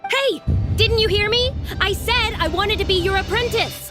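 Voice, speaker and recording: A woman's voice: very high voice, moderate pace, over music. A woman voicing a headstrong, anxious young girl in a video game, insistent and easily flustered.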